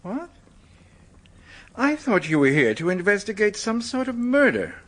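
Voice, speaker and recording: An actor playing an evasive, easily flustered American manuscript collector in a radio drama, polite but defensive, sometimes stammering. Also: male voice, high voice, sing-song, unhurried, full character voice. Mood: affable, surprised.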